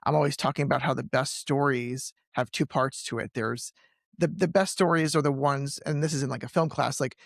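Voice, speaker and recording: A man hosting a conversational interview, often halting and rephrasing his questions as he goes. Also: mid-range voice, fairly even intonation, gravelly, dry recording, clean studio sound. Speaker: podcast host and interviewer; film teacher.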